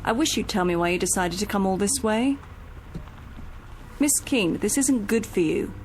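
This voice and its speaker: A woman's voice: mid-range voice, expressive, moderate pace, lightly acted. An actress playing a fussy, fretful woman who looks after an invalid in a vintage television drama. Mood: exasperated. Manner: scolding, fretful. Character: fussy, exasperated female companion to an invalid. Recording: vintage TV soundtrack, faint music behind.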